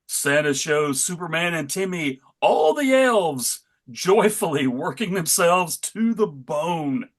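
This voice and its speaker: A man narrating a live comic book read in a wry, deadpan way. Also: on the high side, takes it slowly, hardly any pauses.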